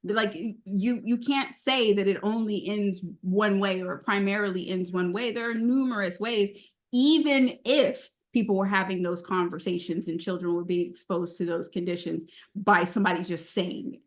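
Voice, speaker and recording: A woman on a discussion panel, thinking through an argument aloud in a casual, halting way. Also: mid-range voice.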